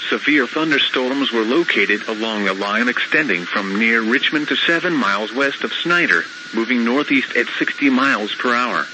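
A synthetic male announcer reading a formal, measured severe weather bulletin over the radio. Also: mid-range voice. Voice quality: synthetic text-to-speech voice. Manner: formal, measured, flatly procedural. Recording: noisy weather radio broadcast recording.

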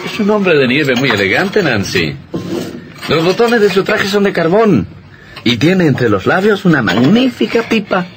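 An actor playing an earnest young doctor, a devoted and reassuring husband, in a melodramatic radio drama. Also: male voice, high voice, sing-song, a little slow, noisy background. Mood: light. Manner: earnest, affectionate.

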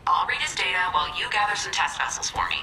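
An actress playing a snarky, quirky young AI hacker in a video game, with a flippant, sarcastic and animated delivery. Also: female voice, mid-range voice, quick pace, far from the mic, faint music behind. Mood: wry, flippant. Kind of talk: video game character acting.

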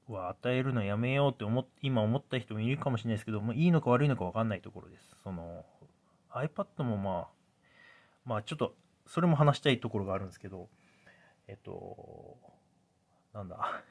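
A man in his thirties speaks in a fairly low voice. He explains things casually, like a parent vlogging to viewers. His delivery is hesitant, with phrases that trail off as he thinks aloud. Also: animated, fairly quick, long pauses.

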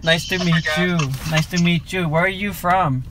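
A man's voice: sits high, steady tone, normal speed, a bit bright, a little hiss or hum. A man in his twenties, laid-back and joking around while sitting in a parked car at night, filmed from the passenger seat. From Philippines. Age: 20s. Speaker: friend. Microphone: camera held from passenger seat.

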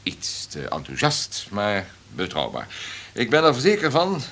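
An actor playing a domineering, calculating radio station boss in a Dutch radio drama, with a pompous, commanding manner. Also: male voice, lowish voice, wildly swooping pitch, a little slow, fairly bright tone, heavily acted. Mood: lecturing, sure. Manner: pompous, commanding, throat-clearing.

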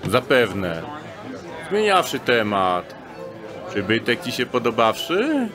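A man playing a confident thief posing as a gentleman in a fantasy audio drama, with pompous, archaic phrasing. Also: medium pitch, sing-song, takes it slowly, over music. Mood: smug.

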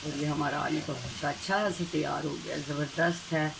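A woman's voice: low-pitched, fairly even intonation, moderate pace, gravelly, very noisy. A middle-aged woman hosting a home cooking tutorial, talking viewers through each step in a chatty, homely way, recorded in her kitchen. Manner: chatty, homely, addresses viewers warmly as family. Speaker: cooking channel host. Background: frying and stirring sounds.

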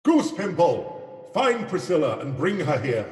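A man's voice: fairly high pitch, very animated, a little slow, a little mellow, faint music behind. A man playing a stern, imperious fairyland king in a radio pantomime. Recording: echoey hall, distant from mic.

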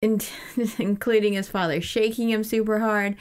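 A woman's voice: medium pitch, steady tone, moderate pace. A woman co-hosting a podcast, casually retelling and discussing a book in an irreverent, chatty way. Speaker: podcast co-host.

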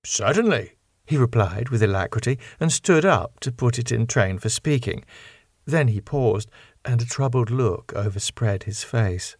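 A man's voice: medium pitch, normal speed, darkish tone, dry recording. Man narrating a classic novel, dry sound. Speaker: audiobook narrator. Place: quiet dry recording space.

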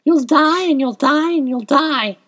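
A woman voicing a smug, taunting evil little flower creature with drawn-out, mocking delivery. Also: sits high, fairly bright tone, a little hiss or hum, pitched above the performer's own voice. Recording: game music behind.

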